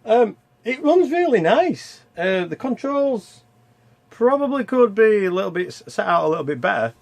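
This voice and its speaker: A man's voice: high voice, sing-song, normal speed. A man hosting a game review with casual, rambling, self-deprecating commentary as he plays. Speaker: video game reviewer and channel host.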